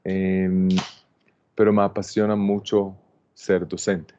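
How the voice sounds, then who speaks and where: A man in his forties from Israel with an Israeli accent, giving a calm, halting introduction to an online plant workshop, with many fillers. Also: low-pitched, smooth. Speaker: landscape designer teaching a plant workshop. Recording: dull, dry computer-mic sound.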